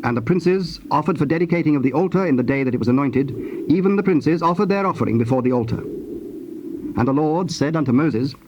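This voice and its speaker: A man narrating scripture from a script in a measured, formal, solemn manner. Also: a little high, animated. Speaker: narrator of dramatized Bible. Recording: dull, noisy old cassette tape.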